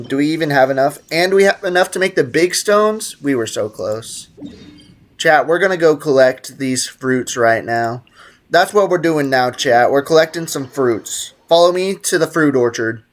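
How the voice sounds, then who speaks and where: A man hosting a casual gaming livestream, talking to his chat in playful slang and prone to exaggerated outbursts. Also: medium pitch. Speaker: live streamer hosting a gaming stream. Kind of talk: casual gaming livestream chat, reading viewer comments aloud.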